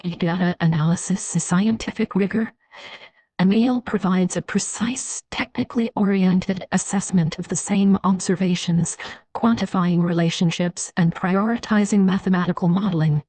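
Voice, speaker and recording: A woman reading scripted analytical narration in a formal, measured manner. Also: on the low side, fairly even intonation, dark tone, no echo, low-quality recording.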